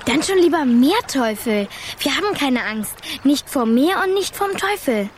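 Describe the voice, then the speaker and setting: A woman's voice: sits high, sing-song, a little slow, slight background noise. A woman playing a plucky, determined six-year-old girl, eager and bossy, in a children's radio play. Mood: proud.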